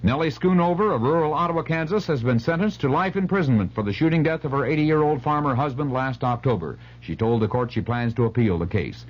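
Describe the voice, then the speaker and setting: A man's voice: medium pitch, lively intonation, fast, few pauses. A man with an American accent reading a scripted local news bulletin on radio. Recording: dull 1970s AM radio recording.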